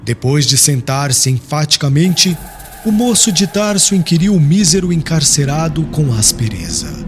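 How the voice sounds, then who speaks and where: Male audiobook narrator, fairly high voice, expressive swings, formal and solemn storytelling with music underneath. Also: normal speed. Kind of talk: dramatic scripted narration of religious historical novel. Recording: music clearly behind the voice.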